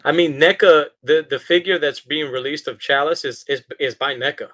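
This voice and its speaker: A man's voice: a little high, normal speed, fairly bright tone. A man co-hosting a casual podcast about horror collectibles, talking in a laid-back, swearing, bro style. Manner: laid-back, profane, calls people bro. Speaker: podcast co-host.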